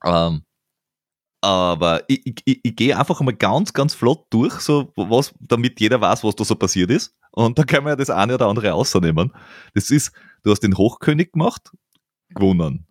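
A man hosts a casual podcast chat. His voice sits low but swings widely in pitch as he stumbles through restarts and laughs. The sound is dry and close. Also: normal speed, no room sound. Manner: stammering restarts, lively and laughing. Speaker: podcast host.

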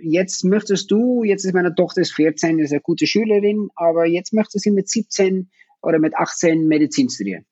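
A Dutch-accented man in his fifties with a fairly high, husky voice, talking casually and stopping often to restart his sentences. The sound is dry and a little dull, like a lo-fi recording. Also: moderate pace, a little mellow, no echo. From Netherlands. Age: 50s. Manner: hesitant, many fillers and restarts. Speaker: guest, parent of athletes. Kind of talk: casual conversation.

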